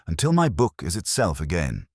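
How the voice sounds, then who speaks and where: A man's voice: low-pitched, wildly swooping pitch, moderate pace, no echo, studio quality, exaggerated voice. Man reading as a stern, formal female registrar. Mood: firm.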